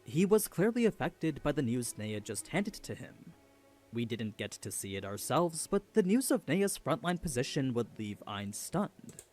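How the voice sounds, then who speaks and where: A man's voice: medium pitch, sing-song, a little slow, a little mellow, faint music behind. A man reading scripted narration for an explainer video.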